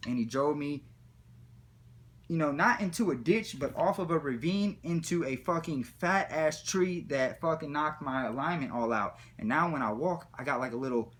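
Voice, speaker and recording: A man telling a comic personal story in a rambling, slangy, profane way with animated retelling. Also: a little high, moderate pace. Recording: background noise, room ambience.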